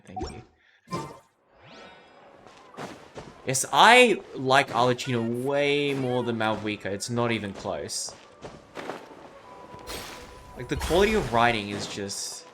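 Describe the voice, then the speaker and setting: A young man streaming a game and reacting casually, with blunt, slangy, swear-laden talk. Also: medium pitch. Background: game music.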